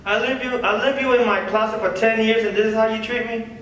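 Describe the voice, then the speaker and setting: A man's voice: high voice, fairly even intonation, fast, a bit bright. A man acting an awkward, sarcastic, disgruntled guy in a dramatic script reading, with dry delivery. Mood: frustrated. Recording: echoey hall, far from mic, faint noise.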